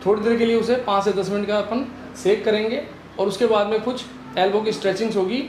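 A man in his thirties, a physiotherapist, calmly explaining exercises step by step in a clinic room, on a lapel mic. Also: high-pitched, fast, soft background music, poor audio. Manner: calm, instructive, explains step by step.

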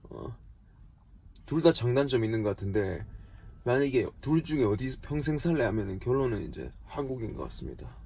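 A man's voice: mid-range voice, very animated, brisk, creaky, dark tone, slight background noise. A man in his twenties from South Korea with a regional dialect, chatting casually and self-deprecatingly as he answers viewer questions as a vlogger. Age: 20s.

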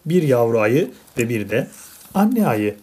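A woman's voice: extremely low pitch, very animated, unhurried, mellow. A woman chatting casually with a child and viewers as a parent hosting a toy unboxing, playfully exasperated. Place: indoors at a table. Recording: clearly noisy home recording with clicks.

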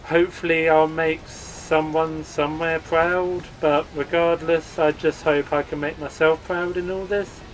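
A man's voice: fairly high pitch, flat delivery, a little slow, few pauses, a little hiss or hum, poor audio. A man hosting a gaming channel, giving an earnest, upbeat channel update read as a voice-over.

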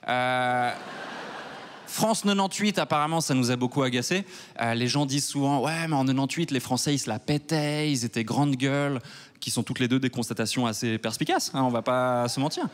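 A man in his thirties from Switzerland doing a stand-up set on a large theater stage with a handheld mic. He talks in a wry, self-mocking way and works up to loud mock outrage. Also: mid-range voice, fairly quick. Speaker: stand-up comedian. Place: large theater stage before a live audience.

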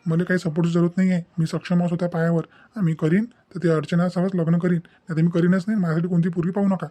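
A man's voice: high-pitched, flat delivery, fast talker, warm tone, close to an everyday voice. A man playing an indignant, principled young son in a cartoon, lecturing emphatically in a Vidarbha Maharashtrian dialect. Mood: defiant. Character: indignant, principled young adult son defying his mother. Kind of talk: comic family drama cartoon dubbing.

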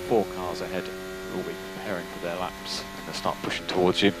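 A man working as a race engineer, giving calm, clipped technical instructions over team radio. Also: deep voice, a little fast. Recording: noisy team radio, engine noise behind.

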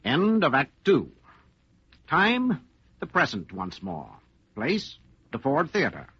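A man's voice: medium pitch, wildly swooping pitch, slow pace, a bit bright, faint music behind, heavily acted. A man as a courteous, composed narrator and announcer, formal and polished, in old radio style. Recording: muffled old radio broadcast.

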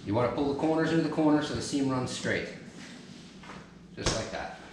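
A man's voice: medium pitch, lively intonation, a little fast. Practical DIY host, man, 30s, talking through each step as he works; roomy, echoing home recording with noise behind. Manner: practical step-by-step, talks while kneeling and lifting. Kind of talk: casual how-to instruction while working. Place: large carpeted family room at home. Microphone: camera mic from a distance. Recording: echoey room, some noise.

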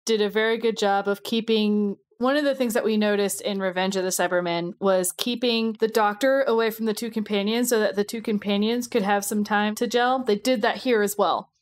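A woman co-hosting a podcast in relaxed, conversational discussion. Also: mid-range voice, fairly quick, few pauses. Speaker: podcast co-host.

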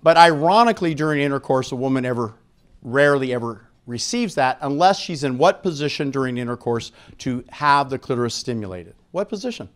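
A middle-aged American man lecturing to a live audience through a handheld microphone in a frank, emphatic way, pausing for effect and putting questions to the room. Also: medium pitch, expressive. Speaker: speaker at an expo seminar.

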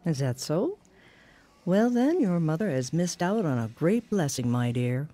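A kind older lady giving gentle advice in a radio drama, soft mellow tone, lilting up and down. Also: low-pitched, big pitch swings, unhurried. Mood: warm, curious. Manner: gentle, nurturing, counselling. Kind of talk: audio drama dialogue.

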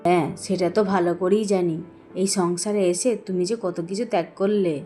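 A woman's voice: fairly low pitch, steady tone, brisk, warm tone, faint music behind, close to an everyday voice. A woman voicing a humble, loving, poor shopkeeper father in a cartoon, with an earnest, self-blaming manner. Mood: affectionate. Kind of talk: Bengali cartoon voice acting.

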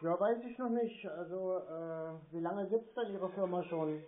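A slightly high-voiced man talks casually over a thin phone connection with faint noise. He is noncommittal and doubtful, answering in a hesitant way. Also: unhurried. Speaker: scambaiter posing as a customer. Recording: noisy narrowband phone call.

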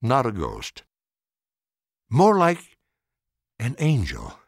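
An earnest young storybook girl, voiced by an older man, who speaks haltingly and sincerely. The pitch moves about in a lively way, and the sound is dry and close. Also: creaky, no echo, heavily acted. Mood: thoughtful.

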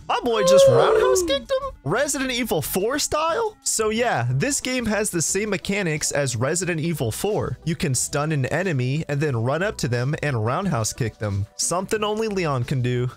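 Male game commentator, playful and animated, slightly high voice, jokey asides, music underneath. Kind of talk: scripted game walkthrough commentary.

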